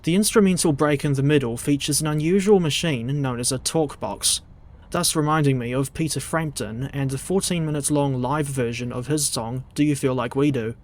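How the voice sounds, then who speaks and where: A man with a New Zealand accent reading a scripted narration in a dry, measured, digressive way. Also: mid-range voice, darkish tone. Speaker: video essay narrator.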